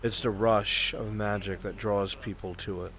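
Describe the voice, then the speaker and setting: A man playing a grave mage mentor in a fantasy roleplay, speaking in solemn, measured, weighty phrases. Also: on the low side, steady tone, fairly bright tone. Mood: brooding, ominous. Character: grave male mage mentor warning of magic's dangers. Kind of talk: improvised fantasy roleplay acting. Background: rain.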